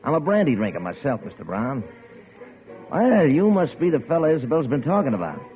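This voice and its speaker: A man plays a sinister, smooth-talking crook whose coaxing, oily voice swings up and down. The thin, phone-like sound has faint music behind it. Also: medium pitch, big pitch swings, fairly quick, close to an everyday voice. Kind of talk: 1940s radio acting. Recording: tinny old radio broadcast with music.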